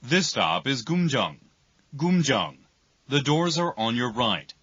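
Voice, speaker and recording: A man reading scripted subway announcements in a formal, deliberate manner. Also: medium pitch, very animated, a bit bright, breathy edge, no echo. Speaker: English train announcer. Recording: noisy, dull lo-fi train recording.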